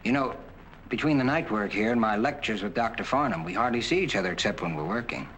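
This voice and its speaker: An eager young doctor urging his fiancée in a 1940s drama. He talks quickly and coaxingly in a lowish voice, with faint hiss under the old soundtrack. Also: animated, fairly bright tone, poor audio. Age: young adult.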